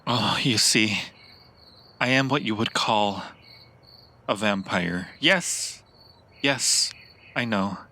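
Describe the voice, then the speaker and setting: A man acts out an ancient vampire lover for an ASMR roleplay. He draws out his words very slowly, with a heavy creak and a crisp, intense edge. His manner is romantic, possessive and theatrical, full of lingering pauses. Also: fairly low pitch, bright, forceful tone, heavily acted. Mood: yearning, earnest. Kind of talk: improvised ASMR roleplay addressed to the listener.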